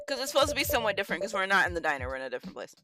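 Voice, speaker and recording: A woman chatting casually as she plays a game with friends, with an excitable, giggly manner. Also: mid-range voice, racing, over music. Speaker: game player, streamer. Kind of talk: casual playthrough chat with friends, some dialogue read aloud.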